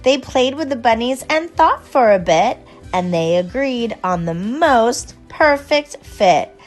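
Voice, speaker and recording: High-pitched woman reading a lively children's storybook. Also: soft background music. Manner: expressive, unhurried storytelling. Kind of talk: storybook read-aloud in rhyme.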